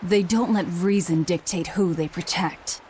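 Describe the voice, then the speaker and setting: An actress playing a cool, hard-edged young antiheroine in a video game, curt, dry and commanding. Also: female voice, lowish voice, measured pace, a little mellow, a little hiss or hum, close to an everyday voice. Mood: flat, cool. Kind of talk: English video game voice acting.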